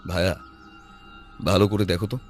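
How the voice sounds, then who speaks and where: An actor playing a shrewd, composed male detective who reasons in a deliberate, analytical way in an audio drama. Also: low voice, fairly even intonation, soft background music, lightly acted. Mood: thoughtful, puzzled.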